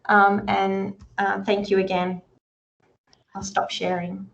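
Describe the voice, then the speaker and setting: A woman's voice: mid-range voice, monotone, slow pace, creaky, fairly bright tone. A woman giving a health presentation over a video call, earnest, restarting her phrases often. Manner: earnest, many restarts and fillers. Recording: dull lo-fi video call audio.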